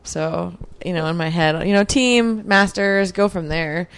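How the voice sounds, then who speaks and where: A woman's voice: on the low side, unhurried, clear, resonant tone. A woman in her mid-thirties, a guest answering interview questions in a reflective, earnest way with many fillers. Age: 30s. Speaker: podcast guest, athlete.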